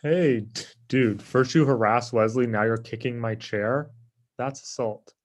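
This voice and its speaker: An actor at a comedy table read playing a lazy, self-absorbed office coworker in a casual, dismissive way. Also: male voice, mid-range voice, measured pace, close to an everyday voice. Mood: indignant.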